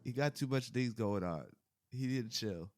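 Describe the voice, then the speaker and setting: Male co-host, playful ribbing, quick laugh, casual. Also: medium pitch. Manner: teasing, laughs often. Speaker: podcast co-host. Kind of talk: casual chat.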